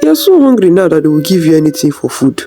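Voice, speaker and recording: A woman's voice: sits low, sing-song, very fast, mellow, faint music behind. A woman voicing a scheming, practical villager, speaking persuasively in a folktale. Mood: eager, persuasive. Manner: persuasive, explanatory.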